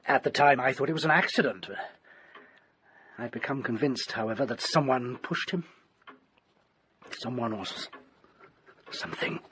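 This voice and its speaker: An actor playing a haunted, desperate gentleman doctor in a radio drama, with a British accent and an ornate, grimly theatrical delivery. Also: mid-range voice, moderate pace, long pauses, slight background noise, lightly acted. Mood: ominous storytelling. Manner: ornate, theatrical, dwells on grisly detail.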